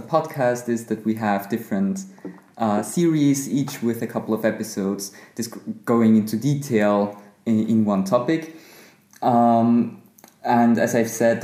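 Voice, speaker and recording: A man's voice: mid-range voice, warm tone. A man from Austria co-hosting a law podcast, speaking in a hesitant way with many fillers. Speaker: podcast co-host, law researcher. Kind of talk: conversational podcast talk. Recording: echoey room.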